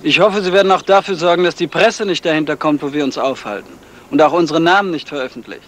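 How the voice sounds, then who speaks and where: A man playing a polite gentleman on holiday in a 1970s radio crime drama. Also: on the high side, quick pace, lightly acted. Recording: noisy 1970s radio play recording.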